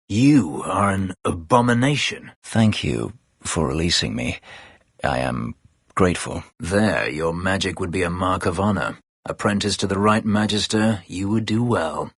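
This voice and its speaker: An actor playing a brooding, bitter escaped elven slave warrior in a video game, guarded and proud, with a husky voice and terse, formal phrasing. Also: male voice, fairly bright tone, no echo, studio quality, full character voice. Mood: grave, guarded. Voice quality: husky, gravelly low voice. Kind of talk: dramatic video game dialogue.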